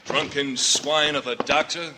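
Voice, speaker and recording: A man playing a proud, formal Old West gentleman in a 1940s radio western. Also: medium pitch, steady tone, a little slow, natural voice. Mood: urgent, scornful. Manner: formal, courteous. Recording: noisy, clicky vintage radio recording.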